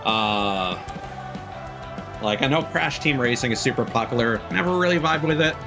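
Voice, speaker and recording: A man's voice: mid-range voice, animated, moderate pace, gravelly. A man doing casual, informal live commentary as a video game streamer. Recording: music playing behind the voice. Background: video game music.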